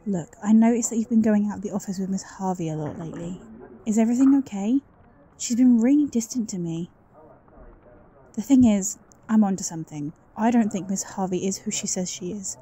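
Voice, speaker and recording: Woman acting a very mellow, suspicious office colleague. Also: mid-range voice, brisk, husky. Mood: uneasy, confiding. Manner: confiding, conversational. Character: suspicious, insecure office colleague confiding quietly. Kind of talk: roleplay audio drama.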